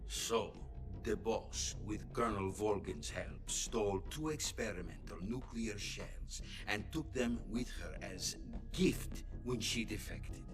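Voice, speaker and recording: A stern Soviet statesman, speaks. He is deliberate and formal, with a menacing politeness and an exasperated edge, over prominent dramatic score. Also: fairly low pitch. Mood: grave, incredulous. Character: stern, shrewd Soviet premier, imperious and exasperated. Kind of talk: dramatic cutscene voice acting. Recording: dramatic music behind.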